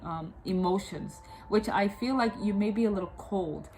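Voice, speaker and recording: A woman's voice: mid-range voice, darkish tone, a little hiss or hum, poor audio. A woman with a cold and a blocked nose, giving a tarot reading. She often repeats and restarts her words and keeps checking whether the listener understands. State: stuffed up from a cold. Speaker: tarot reader.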